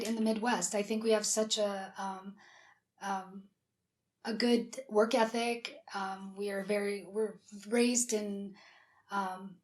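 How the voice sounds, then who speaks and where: A woman with a raspy, breathy voice talks as an interview guest, telling personal stories in an open, chatty way. She is from South Dakota and speaks from a studio. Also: a little high, darkish tone. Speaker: whistleblower guest.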